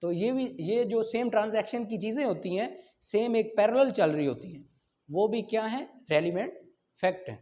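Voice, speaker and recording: High-pitched Pakistani male law teacher, muffled audio. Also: animated, moderate pace, warm tone. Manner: didactic, asks questions and answers them himself. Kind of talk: explanatory exam-prep lecture mixing Urdu with English legal terms. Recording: dull lo-fi lecture audio.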